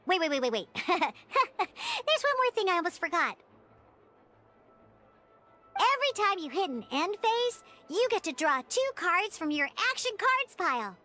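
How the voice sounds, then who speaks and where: A woman's voice: extremely high pitch, sing-song, a little slow, sharp tone, faint music behind, exaggerated voice. A woman voicing a feisty, cheeky young cat-eared girl in a video game, explaining things in a perky, emphatic way. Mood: eager, instructive. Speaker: game tutorial guide. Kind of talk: animated video game voice acting.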